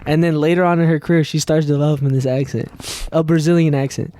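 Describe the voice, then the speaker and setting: Emphatic man, slightly high voice. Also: fairly even intonation, quick pace, warm tone. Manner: emphatic, says "bro" and "dude" often. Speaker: podcast co-host. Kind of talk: casual chat.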